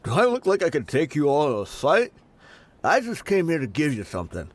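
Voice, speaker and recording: A man's voice: on the high side, huge pitch swings, a little fast. A man playing a plain-spoken, conciliatory male mercenary in a video game, explaining himself in a resigned, matter-of-fact way. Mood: resigned, explanatory.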